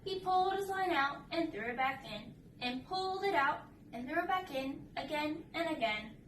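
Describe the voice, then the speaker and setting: High, bright woman reading aloud. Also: expressive, normal speed. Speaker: storybook reader. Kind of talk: children's read-aloud narration and casual chat. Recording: roomy, distant mic with faint noise.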